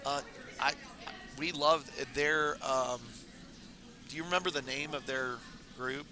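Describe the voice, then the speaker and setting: A man commentating a curling tournament stream in a casual, rambling way, his voice raspy and hoarse from talking all weekend. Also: fairly high pitch, slow pace, background noise. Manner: relaxed, rambling, many fillers. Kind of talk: casual live sports commentary. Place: curling club.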